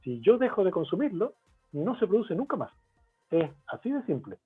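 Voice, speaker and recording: Chilean man, guest expert, fairly high husky voice, animated delivery, phone-like video call line with faint music. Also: normal speed. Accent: Chilean Spanish. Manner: explanatory, lively, lists points with rhetorical questions. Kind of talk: webinar interview.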